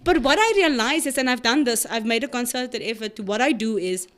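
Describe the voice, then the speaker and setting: Chatty woman, higher voice. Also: brisk, fairly bright tone. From South Africa. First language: Afrikaans. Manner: chatty, laughs often, restarts sentences. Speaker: podcast co-host. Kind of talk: casual podcast chat.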